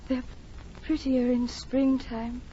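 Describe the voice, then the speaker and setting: A woman acting a frail, childlike madwoman in 1940s radio drama, gentle, vague and wandering in her delivery. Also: high-pitched, level tone. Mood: dreamy, distant. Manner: slow, vague, wandering, disconnected. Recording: dull, noisy vintage radio recording.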